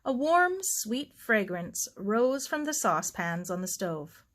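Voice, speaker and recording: A woman reading a children's novel aloud in an expressive storytelling style. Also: fairly high pitch, slow. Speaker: audiobook reader. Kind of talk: expressive scripted story narration.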